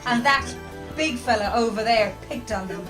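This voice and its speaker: Policewoman acted by a woman for radio drama, high voice, reporting, music behind. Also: normal speed, close to an everyday voice. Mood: matter-of-fact reporting. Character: female police officer reporting on suspects.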